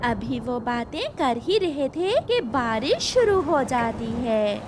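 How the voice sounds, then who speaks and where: A woman's voice: fairly high pitch, sing-song, takes it slowly. A woman reading scripted narration for a children's cartoon story, with noise behind her. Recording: clear background noise.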